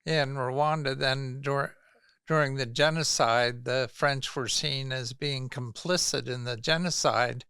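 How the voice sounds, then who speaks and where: A male podcast host who speaks slowly, with a gravelly but bright and crisp voice. He asks curious, relaxed questions in a casual interview, recorded dry and close. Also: mid-range voice, no echo.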